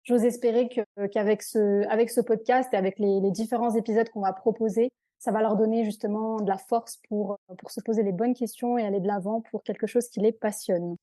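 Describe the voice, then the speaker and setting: A woman's voice: medium pitch, steady tone, fairly quick, few pauses, mellow, no echo. A woman hosting a podcast interview, encouraging and a little hesitant as she asks her questions. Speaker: podcast host.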